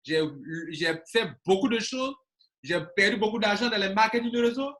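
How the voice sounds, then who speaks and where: A man's voice: high voice, animated, moderate pace, fairly bright tone. A man in his forties, an online business coach talking casually to his viewers and stressing points by repeating phrases. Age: 40s.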